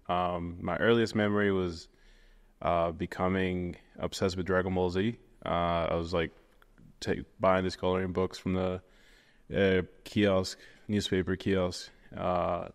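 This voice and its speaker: Young guy from venezuela, rambly with lots of ums, very low, reverby room. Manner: rambling, many fillers and restarts. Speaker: guest, 3D artist. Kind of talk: casual interview chat.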